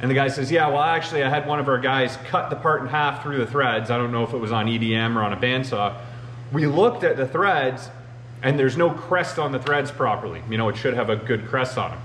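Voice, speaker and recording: A man's voice: medium pitch, a little fast, fairly bright tone. A man in his thirties talking casually to camera as a machinist show host, filling his talk with "you know.". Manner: conversational, uses many "you know" fillers. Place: large indoor machine shop. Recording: roomy shop with faint hum.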